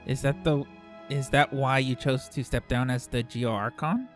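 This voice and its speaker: A man's voice: mid-range voice, fairly even intonation, normal speed, faint music behind. A man streaming a game, reading dialogue choices aloud into a close desktop microphone.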